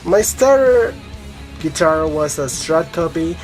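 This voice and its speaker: A man's voice: on the high side, animated, slow, darkish tone. Young man, Colombian Spanish accent, rambling vlog chat, casual swearing, faint music behind. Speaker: guitar vlogger. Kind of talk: casual rambling monologue to camera.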